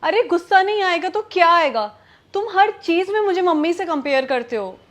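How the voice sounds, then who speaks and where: A woman's voice: high voice, animated, fairly quick. A young woman with an Indian accent acting a proud, easily stung, outspoken wife in a domestic drama. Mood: angry. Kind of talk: scripted domestic drama acting in Hindi with some English.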